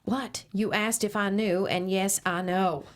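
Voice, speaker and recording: A woman's voice: mid-range voice, steady tone, measured pace, lightly acted. A woman reading the part of a prim, repressed, churchgoing middle-aged mother in a script table read, stiff and easily flustered.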